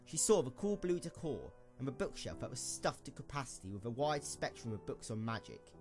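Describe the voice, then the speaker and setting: A man narrating a scripted story as an expressive storyteller for an audio drama. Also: medium pitch, fairly quick, over music.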